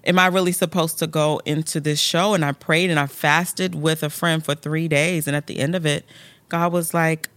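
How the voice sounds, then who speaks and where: A woman's voice: sits low, steady tone, normal speed, fairly bright tone, no echo. A woman, an actress, as a guest on an interview podcast, telling stories animatedly and acting out quotes with big jumps in her voice, in a studio. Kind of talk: interview storytelling.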